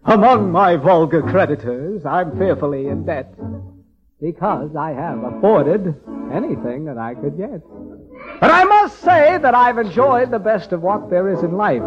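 An actor playing a breezy, girl-chasing bachelor sidekick in a vintage radio musical comedy. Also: sits high. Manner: breezy, joking, theatrical. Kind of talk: 1940s-50s radio musical comedy acting. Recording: dull old radio recording, music behind.

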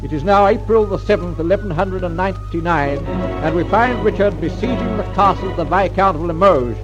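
A man gives formal, scripted narration in old-time radio style. His voice is high for a man. The recording is dull and vintage, with music clearly behind him. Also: a little fast. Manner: formal storytelling delivery.

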